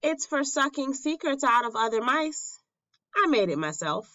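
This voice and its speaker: A woman voicing an eager, pleading little boy mouse in a squeaky, excitable way for a children's storybook. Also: normal speed, no echo, full character voice. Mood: proud.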